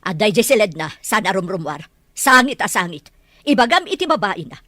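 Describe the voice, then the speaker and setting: A woman's voice: mid-range voice, very animated, a little fast, pushed and bright. A woman playing a stern, resentful older aunt in an Ilocano audio drama. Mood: bitter.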